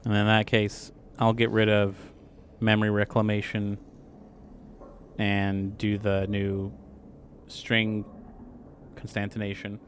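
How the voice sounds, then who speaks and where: A man's voice: lowish voice, monotone, slow, pauses often, a little hiss or hum. A man playing a tabletop game, breaking in with joking, crude banter. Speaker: player. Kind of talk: casual tabletop game play.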